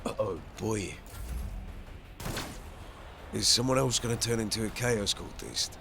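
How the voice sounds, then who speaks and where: A man's voice: fairly low pitch, measured pace, creaky, background noise. A man doing casual commentary as he plays a video game, muttering to himself. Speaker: gamer, playthrough host.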